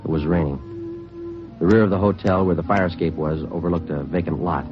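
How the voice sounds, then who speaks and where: An actor playing a terse police detective who narrates a 1950s radio drama in a flat, matter-of-fact way. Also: male voice, low-pitched, brisk, natural voice. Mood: narrating. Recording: muffled vintage radio broadcast with music.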